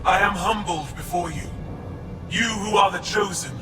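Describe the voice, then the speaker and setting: An actor playing a zealous, commanding alien priest in a video game, speaking in solemn, ceremonial proclamations. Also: male voice, high voice, moderate pace, natural voice. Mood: solemn, reverent. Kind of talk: dramatic video game acting. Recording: echoey, distant, under loud music.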